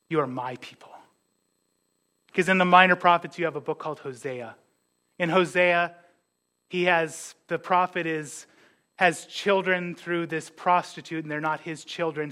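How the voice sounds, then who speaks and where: A man's voice: sits high, bright, forceful tone. A man preaching a sermon in a conversational way, mixing scripture with jokes and asides. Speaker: pastor.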